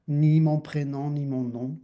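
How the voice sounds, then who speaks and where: A middle-aged man from Argentina with an Argentine accent, speaking thoughtfully as an interview guest, with many restarts and soft laughs. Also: fairly high pitch, fairly even intonation, a little slow, highs cut off. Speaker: guest, dancer and actor. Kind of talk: reflective interview conversation.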